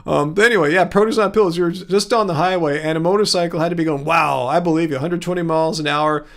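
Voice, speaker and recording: A man's voice: fairly high pitch, fast, gravelly. A man with a Californian American accent hosting a casual livestream, rambling and easygoing as he replies to viewers. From California, United States. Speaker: livestream host. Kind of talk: casual livestream chat, replying to viewer comments.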